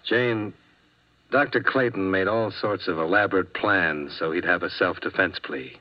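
An American actor playing a weary, wry insurance investigator in a 1950s radio drama, speaking in a terse, dry way. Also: male voice, low-pitched, normal speed, close to an everyday voice. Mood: gentle, steady. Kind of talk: 1950s radio drama acting with first-person narration. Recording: dull vintage 1950s radio recording.

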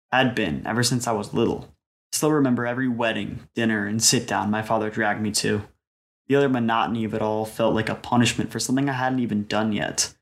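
A man's voice: lowish voice, lightly acted. A man playing a jaded young Italian-American mobster who dreams of acting, telling his story as a wry dramatic monologue. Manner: wry, world-weary storytelling with wiseguy slang. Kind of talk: dramatic scripted first-person monologue.

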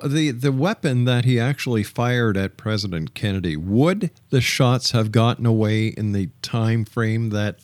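A man hosting a radio interview, speaking politely in deliberate phrases. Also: on the low side, dry recording. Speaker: radio show host and interviewer.

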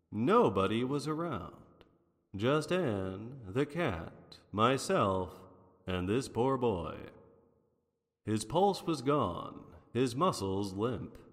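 A man playing a wry, unflappable private detective in a 1940s noir radio drama, with a theatrical, wordy manner. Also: mid-range voice. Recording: long reverberant hall sound.

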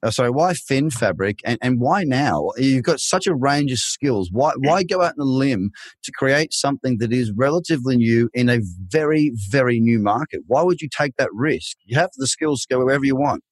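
A man's voice: mid-range voice, animated, moderate pace, dry recording. A man hosting an interview, asking long encouraging questions in a chatty, rambling way. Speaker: podcast host.

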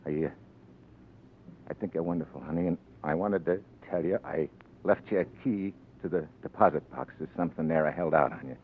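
An actor with an American accent playing a tough but sentimental crooked politician turned barkeep, in 1940s radio drama style with slangy talk. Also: male voice, rumbling low, slight background noise. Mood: tender, regretful. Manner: slangy, gruff when crossed, halting when tender. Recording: tinny 1940s radio broadcast, faint music.

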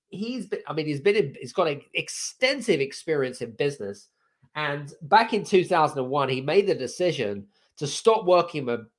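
A man hosting a podcast with a croaky voice, energetic and rambling, with many fillers and restarts. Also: mid-range voice. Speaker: podcast host. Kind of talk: casual chat.

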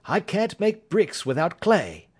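A man reading an audiobook, voicing a sharp, aloof male detective in a crisp, assured and clipped manner. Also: high-pitched, huge pitch swings, slow pace, voice pushed up, exaggerated voice. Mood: impatient.

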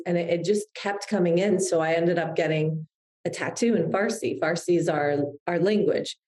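Podcast guest, woman in her 30s, low mellow voice, reflective and heartfelt, recorded in an echoey room. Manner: reflective, heartfelt, with frequent restarts. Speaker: guest, publicist. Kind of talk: podcast interview.

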